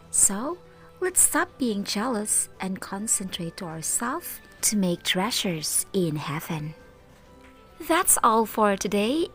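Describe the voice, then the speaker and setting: A female teacher tells a children's Bible story at a very unhurried pace. She speaks straight to young listeners in deliberate phrases, over faint music. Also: mid-range voice.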